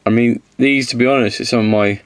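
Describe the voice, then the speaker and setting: A man with a slight cold-hoarse voice, casually reviewing miniatures, rambling and correcting himself as he goes. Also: mid-range voice, steady tone, brisk, a little hiss or hum. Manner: rambling, self-correcting, counts aloud.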